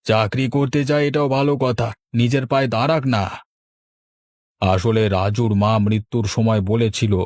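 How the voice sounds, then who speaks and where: A man's voice: mid-range voice, darkish tone, dry recording, clean studio sound, natural voice. A man playing an earnest middle-aged village uncle in a cartoon, explaining things in a persuasive, avuncular way. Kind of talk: Bengali cartoon dubbing.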